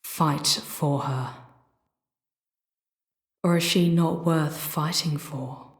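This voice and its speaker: A woman voicing a charming, protective female demon in an ASMR roleplay, speaking theatrically and intensely in hushed, drawn-out phrases that often slip toward a whisper. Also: sits low, fairly even intonation, husky, no room sound, clean studio sound, exaggerated voice. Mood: tender, coaxing.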